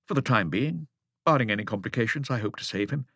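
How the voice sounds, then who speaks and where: A man voicing a tired, businesslike hospital surgeon in an audiobook, brisk and clipped. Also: medium pitch, expressive, fairly bright tone, no room sound, studio quality, voice pushed up. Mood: brisk, guarded.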